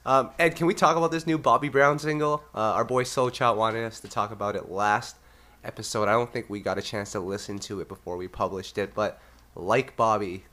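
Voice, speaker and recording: A man's voice: on the low side, steady tone, fairly quick, fairly bright tone. A man with a nasal, congested voice hosting a casual music podcast. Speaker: podcast host.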